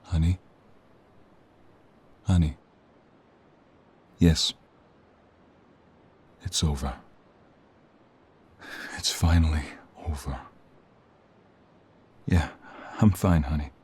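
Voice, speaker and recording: Deep, slow-spoken protective mobster played by a man. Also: darkish tone, breathy edge, heavily acted. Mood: grave, weary. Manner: earnest, pleading, speaks tenderly to his partner. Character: devoted, protective male mobster, wounded romantic lead. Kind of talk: dramatic audio roleplay acting.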